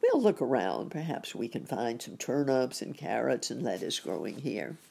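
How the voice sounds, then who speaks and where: Woman as a low-voiced kindly gentleman rabbit. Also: very animated, moderate pace, creaky, husky, heavily acted. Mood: practical, kindly. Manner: courteous, gently instructive. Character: kindly grown-up gentleman rabbit, wise and polite.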